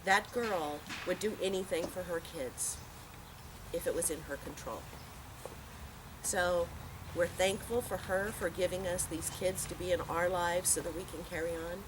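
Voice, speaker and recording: A woman's voice: on the low side, measured pace. A woman giving a heartfelt, plain-spoken eulogy to a gathering outdoors, telling stories as she goes. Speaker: eulogy speaker at a memorial. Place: outdoor park memorial gathering. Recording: noisy outdoor park ambience.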